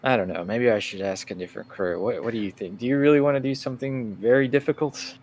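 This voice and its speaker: A man voicing a smooth, condescending crime family boss in a tabletop roleplay, speaking deliberately and formally. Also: mid-range voice, a little mellow, slight background noise, close to an everyday voice. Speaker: guest game master.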